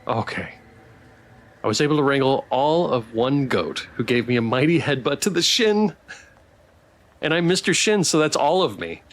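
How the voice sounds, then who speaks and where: A man's voice: medium pitch, lively intonation, moderate pace. A man streaming a visual novel, reading its text aloud with playful, theatrical commentary and joking asides.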